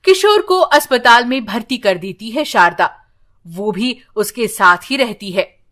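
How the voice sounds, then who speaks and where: Woman storyteller, high pitch, lively intonation, scripted narration, unhurried and dramatic. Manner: slow, expressive, dramatic reading.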